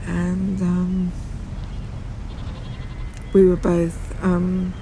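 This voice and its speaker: A middle-aged or older woman, a poet, reading and reflecting in a halting, thoughtful manner. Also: lowish voice, completely flat delivery, mellow, slight background noise. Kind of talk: poetic reading and reflective documentary talk.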